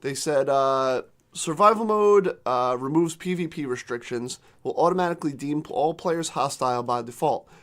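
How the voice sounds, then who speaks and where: A man co-hosting a casual gaming podcast, reading news articles aloud while losing his voice and clearing his throat. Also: mid-range voice, moderate pace, few pauses, a bit bright. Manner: clears throat, reads quotes in runs. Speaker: podcast co-host.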